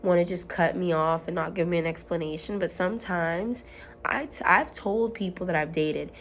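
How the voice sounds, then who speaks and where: A woman at home, hoarse while her voice comes back, giving an earnest, rambling motivational talk to camera with frequent ums and restarts. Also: on the low side, normal speed, a bit bright, slight background noise. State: hoarse, recovering from lost voice. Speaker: self-help vlogger.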